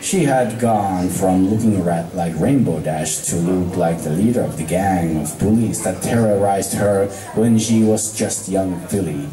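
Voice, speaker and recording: A man reading a story aloud as an amateur narrator, halting and stumbling over words. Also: sits low, dark tone, soft background music. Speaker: amateur fan fiction reader. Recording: echoey room, distant mic.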